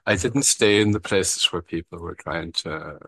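Low-voiced Irish man sharing his story. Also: measured pace, sharp tone, husky, no echo. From Ireland. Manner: rambling, frank, swears casually, frequent "you know". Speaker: recovery meeting founder sharing his story. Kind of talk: casual personal share.

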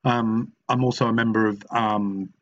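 A middle-aged man with an Australian accent, sharing his story at a recovery meeting, talking haltingly with many pauses and restarts. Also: fairly low pitch, fairly even intonation, a bit bright, lo-fi sound. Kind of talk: personal share at a support meeting.

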